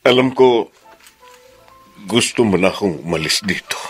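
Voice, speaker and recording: An actor playing a remorseful middle-aged father in a radio melodrama, pleading and halting as he defends himself. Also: lowish voice, expressive, husky, faint music behind. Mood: sorrowful. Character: remorseful older father defending his past killing. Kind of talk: melodramatic radio drama acting.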